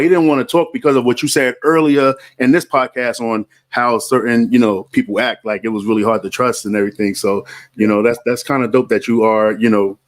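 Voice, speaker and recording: A man in his forties hosting a casual podcast, talking in a laid-back way with lots of "like" and "you know". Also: medium pitch. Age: 40s. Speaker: podcast host.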